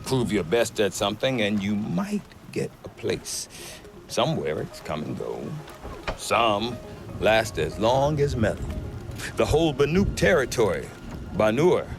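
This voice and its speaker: An actor playing a gruff middle-aged trader who talks with dry humor. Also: male voice, on the low side, measured pace. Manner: wry, dry humor, lively delivery. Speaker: merchant trader. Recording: game cutscene with music behind.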